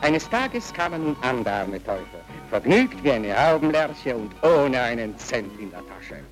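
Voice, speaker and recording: Wry storyteller of a post-war thriller, mid-range voice, conversational and ironic, music clearly underneath. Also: male voice, mid-range voice, big pitch swings, a little slow, rough recording. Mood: chatty, amused. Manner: chatty, ironic. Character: wry male narrator recounting post-war Vienna. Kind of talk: conversational scripted film narration. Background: loud music.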